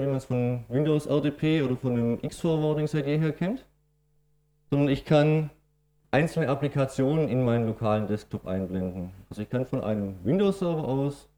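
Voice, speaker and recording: Swabian-accented presenter, middle-aged, mellow dark voice, explains plainly with filler sounds. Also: male voice, mid-range voice. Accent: Swabian German. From Swabia, Germany. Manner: explanatory, matter-of-fact, with frequent "ähm" fillers. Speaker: conference speaker. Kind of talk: technical conference presentation.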